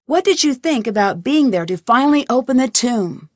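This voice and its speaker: Young girl detective for a game, inquisitive and earnest, bright higher voice, dry studio read. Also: lively intonation, normal speed, no echo. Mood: curious. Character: young female amateur detective, curious and determined. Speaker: game protagonist. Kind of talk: studio video game voice lines.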